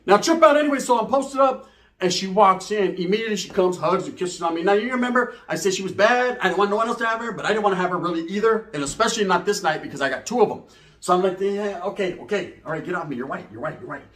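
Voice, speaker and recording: A man's voice: high voice, lively intonation, quick pace, a bit bright, echoey room. A man telling a raunchy comic story casually, full of slang and swearing and acting out the dialogue. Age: adult, parent. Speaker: comedic storyteller.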